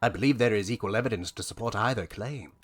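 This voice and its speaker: A man's voice: lowish voice, quick pace, natural voice. A man playing a pompous, easily exasperated storyteller in an audio drama, with old-fashioned declamatory phrasing. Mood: earnest, oratorical.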